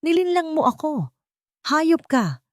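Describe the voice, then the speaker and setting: A woman's voice: high voice, big pitch swings, slow pace, no echo, studio quality, pitched above the performer's own voice, exaggerated voice. A woman voicing a scheming, arrogant male villain in an audio drama, with a taunting, theatrical manner. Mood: shocked fury.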